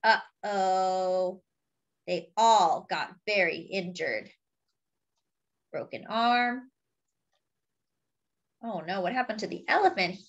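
A woman reading a picture book aloud to young children and commenting on the pictures, expressively and with pauses for emphasis. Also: mid-range voice, lo-fi sound. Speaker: children's storybook reader.

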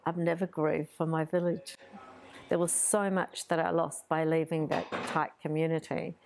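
A woman in her sixties, born in Greece, talking slowly and reflectively about her childhood, pausing often, seated in her art studio. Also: sits low, gravelly, a little mellow. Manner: thoughtful, hesitant, many pauses and fillers. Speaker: artist interviewee. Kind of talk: reflective personal storytelling.

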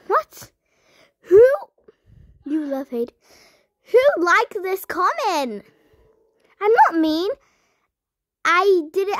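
A young girl hosting her own channel, chatting as she reads viewer comments aloud and answers them with sudden high squeals. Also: no room sound, studio quality. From United Kingdom. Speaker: channel host. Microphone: phone.

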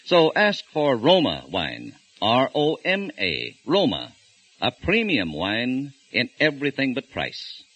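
Lively 1940s radio ad announcer. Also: male voice, mid-range voice, takes it slowly. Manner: smooth, salesman-like. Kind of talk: radio commercial read. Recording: husky 1940s radio broadcast.

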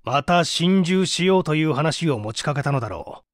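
A man's voice: on the high side, animated, moderate pace, dry recording, clean studio sound, close to an everyday voice. A man playing a stern, relentless prosecutor with an authoritative, pressing manner in a dramatised mystery reading. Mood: probing, measured. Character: stern male prosecutor, relentless, accusing interrogator. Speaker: audiobook reader.

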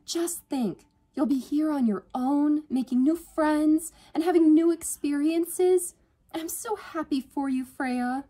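A woman's voice: high voice, slow, hardly any pauses, mellow, pitched above the performer's own voice. A woman voicing a cheery, sentimental older sister in an audiobook, gushing and effusive. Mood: swooning, delighted. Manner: swooning, effusive. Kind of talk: audiobook character dialogue.

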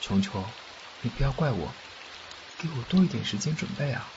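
A fugitive official of the underworld , acted by a man, doting and cocky, explains things expressively in a mid-pitched voice that moves around a lot. It is a fan audio drama with a muffled sound and faint rainy noise behind. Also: expressive, moderate pace, lightly acted. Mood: grave, cautioning. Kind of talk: Mandarin fan radio drama acting. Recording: muffled, faint background noise, rain-like ambience.

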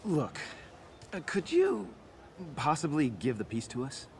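A reasonable, conciliatory martial arts champion in a video game. The voice is casual and moves expressively, a little high for a man, over noticeable background ambience. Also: wildly swooping pitch, unhurried, vocal fry, a little mellow, slight background noise, heavily acted. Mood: awkward asking. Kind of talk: video game cutscene acting.

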